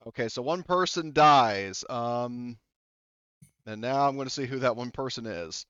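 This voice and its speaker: A man's voice: medium pitch, lively intonation, a little slow, a bit bright, smooth, dry recording. A man running a tabletop game as game master, rambling loosely and laughing often. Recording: dull, lo-fi mic sound.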